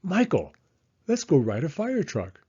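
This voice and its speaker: A man's voice: fairly high pitch, wildly swooping pitch, a little slow, mellow, breathy edge, lo-fi sound, voice pushed up, exaggerated voice. A man voicing a bold, adventurous little girl in an eager, insistent storybook manner.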